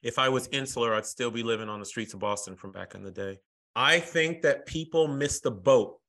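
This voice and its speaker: A man's voice: mid-range voice, fairly quick. An American man talking energetically and emphatically in a podcast interview as the guest. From United States. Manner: energetic, emphatic, calls people brother.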